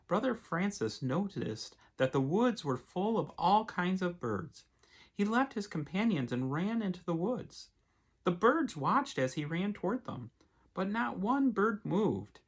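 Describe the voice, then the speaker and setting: A male pastor speaks as if to children at storytime. His pitch rises and falls in an animated way, and his voice is somewhat husky. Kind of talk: expressive storybook read-aloud with casual asides.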